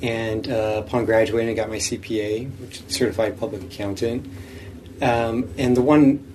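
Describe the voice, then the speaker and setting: A man's voice: fairly low pitch, steady tone, moderate pace, warm tone, a little hiss or hum. A young man informally describing his career as a podcast guest. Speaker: podcast guest, finance professional. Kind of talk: informal self-introduction.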